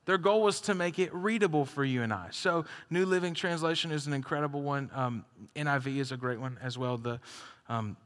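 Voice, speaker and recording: A man's voice: a little high, brisk, few pauses, a bit bright. A man preaching a conversational sermon as a pastor, joking and laughing between points. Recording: long hall reverb.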